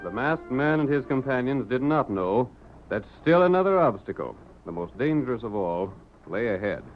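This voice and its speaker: A man narrates an old radio adventure serial with theatrical suspense and formal phrasing. His voice sits at middle pitch. The 1930s recording is muffled, with music behind him. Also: big pitch swings, moderate pace. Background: dramatic music.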